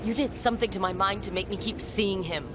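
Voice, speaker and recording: An actress playing a tough, bitter heroine with a blunt, firm delivery in a video game cutscene. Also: female voice, mid-range voice, fairly quick, noisy background, natural voice. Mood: bitter, angry. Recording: music behind the voice. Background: cinematic music.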